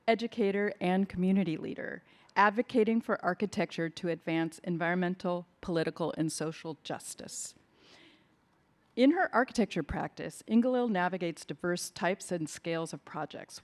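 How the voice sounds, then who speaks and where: A woman's voice: lowish voice, a little mellow. A woman hosting a conference who reads a speaker introduction and then loses fluency once she leaves the script, with fillers and restarts. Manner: reads smoothly, then stumbles into fillers when ad-libbing. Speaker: event host introducing speaker. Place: conference hall.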